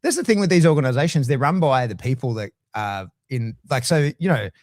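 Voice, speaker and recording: A middle-aged Australian man talking casually as a guest, blunt and swearing freely, with lively swings in his voice. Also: medium pitch, a little fast, dry recording, clean studio sound. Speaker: guest dog trainer. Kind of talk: casual conversation.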